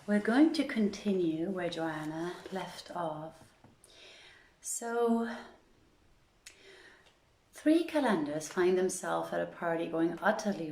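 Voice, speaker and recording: A woman reading a story aloud in a measured, deliberate storytelling manner, with an informal live feel. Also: fairly low pitch, darkish tone, reverberant. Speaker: reader in a theatre project's online reading series.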